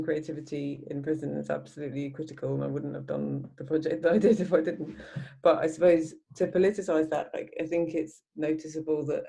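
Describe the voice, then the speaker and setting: A woman's voice: sits low, creaky, mellow, husky. A woman speaking as a panellist, offering a considered opinion in conversation.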